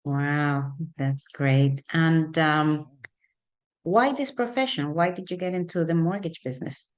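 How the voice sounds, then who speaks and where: A woman's voice: low-pitched, unhurried. A woman hosting an interview show, introducing her guest and asking questions in a friendly, chatty way with frequent uhs and ums. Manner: friendly, chatty, hesitant fillers. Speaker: podcast host, real estate broker.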